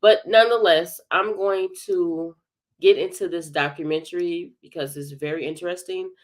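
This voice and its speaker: A woman hosting a livestream commentary, congested from a bad head cold, talking hesitantly with many filled pauses. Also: lowish voice, steady tone. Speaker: commentary channel host.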